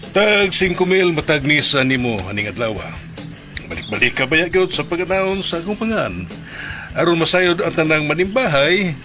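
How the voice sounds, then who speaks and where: A man's voice: a little high, natural voice. A man acting in a radio drama as a powerful, corrupt small-town boss, smug and commanding. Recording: loud music behind the voice.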